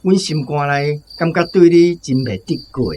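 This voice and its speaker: An actor with a Taiwanese accent playing a solicitous, polite opera troupe boss in a radio drama, earnestly persuading. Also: male voice, fairly high pitch, lively intonation, moderate pace, dark tone, a little hiss or hum, lightly acted. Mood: grateful, persuasive.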